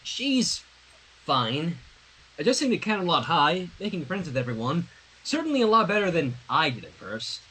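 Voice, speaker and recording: Man as a reassuring, husky-voiced teenage girl. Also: a little high, big pitch swings, moderate pace, pushed and bright, heavily acted, poor audio. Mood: awkward. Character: friendly, reassuring teenage girl, a former unicorn. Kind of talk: dramatic fanfiction reading.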